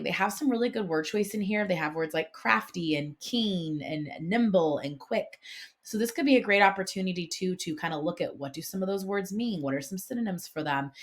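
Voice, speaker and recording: A woman hosting an informal instructional vlog, explaining things conversationally like a classroom teacher. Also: lowish voice. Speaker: classroom teacher and video host. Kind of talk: casual instructional vlog talk about picture books.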